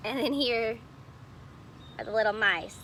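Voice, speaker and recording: A woman's voice: a little high, unhurried, pushed and bright, slight background noise. A woman reading a picture book aloud expressively, explaining details to young listeners in casual asides. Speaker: storybook reader.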